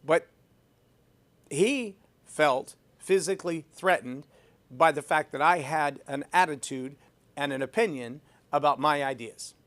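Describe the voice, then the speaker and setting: A man's voice: on the high side, unhurried. A man hosting a leadership podcast, talking emphatically and repeating phrases for effect as he tells a personal story. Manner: self-described loud voice. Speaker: podcast host.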